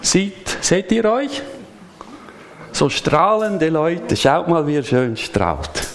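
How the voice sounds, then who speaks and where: Man preaching a lively chatty sermon. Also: a little high, takes it slowly, slight background noise. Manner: lively, chatty, asks the congregation questions. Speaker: pastor. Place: church service.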